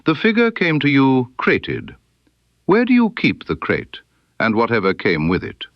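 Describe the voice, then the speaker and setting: A man voicing a keen, composed detective in an audiobook story, with precise, deliberate and quietly probing speech. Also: mid-range voice, wildly swooping pitch, dry recording, lo-fi sound, pitched above the performer's own voice. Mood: brisk, exacting. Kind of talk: audiobook character dialogue.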